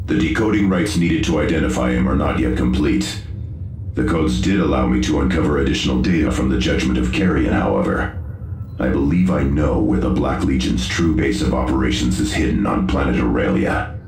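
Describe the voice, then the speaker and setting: A dutiful tech-priest soldier in a sci-fi game. His voice is extremely deep and gravelly, formal and impassive. It rings with echo over faint music. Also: brisk, darkish tone. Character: dutiful, formal armored tech-priest warrior reporting to commander. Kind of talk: video game cutscene acting.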